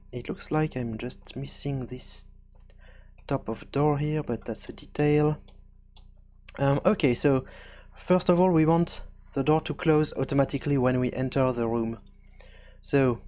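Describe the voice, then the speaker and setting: Guy doing an unscripted software tutorial, medium pitch, explains stuff haltingly w lots of pauses mid sentence. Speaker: tutorial presenter.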